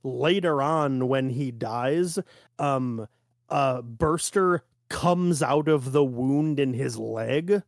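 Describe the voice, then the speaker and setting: A man's voice: mid-range voice, slow, vocal fry, no echo, clean studio sound. A man hosting a podcast, talking informally in a casual, conversational discussion. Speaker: podcast host.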